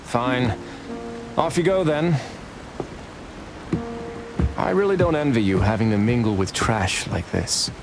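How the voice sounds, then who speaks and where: A man's voice: mid-range voice, slow pace, gravelly, pauses often, over music. An actor playing a cold, rich businessman villain in a Western game, pompous and condescending. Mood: smug, cold.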